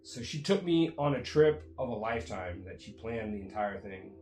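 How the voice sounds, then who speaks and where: A man's voice: on the low side, lively intonation, moderate pace, darkish tone, roomy sound, slight background noise. A man whose first language is Jamaican Patois, chatting casually and telling a rambling story with lots of fillers. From United States. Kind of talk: casual chat.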